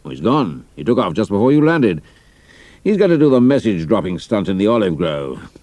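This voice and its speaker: An actor playing a senior British army intelligence officer, measured and dry, with a British accent, in an audio drama. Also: male voice, fairly low pitch, very animated, a little mellow, no echo. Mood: measured, matter-of-fact.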